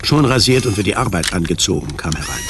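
A man's voice: low voice, expressive, fast talker, noisy background. A man reading scripted narration as the narrator of a German radio drama.

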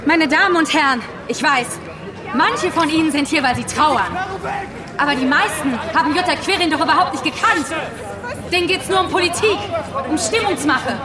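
Desperate, high-voiced woman in radio drama. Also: lively intonation, moderate pace, crowd noise. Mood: accusing, agitated. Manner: impassioned, accusatory. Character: desperate, grieving, accusing woman activist holding a gun. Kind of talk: German radio drama acting.

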